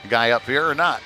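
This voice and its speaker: A man doing live hockey play-by-play in a loose, conversational way, with hesitant pauses mid-call. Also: medium pitch. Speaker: play-by-play commentator. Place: ice rink. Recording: noisy live rink ambience.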